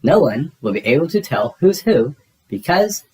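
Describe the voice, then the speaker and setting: A man's voice: a little high, big pitch swings, normal speed, a little mellow, pitched above the performer's own voice, low-quality recording. A man reading a storybook, voicing a cheerful, eager young girl monster who likes to organize her friends. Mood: excited, scheming.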